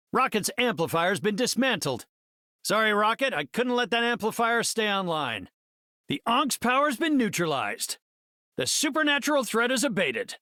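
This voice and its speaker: An actor playing an earnest middle-aged scientist superhero in a video game, speaking in an articulate, cerebral, heroic way. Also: male voice, sits high, bright, forceful tone, no echo, studio quality, full character voice. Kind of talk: video game hero voice lines.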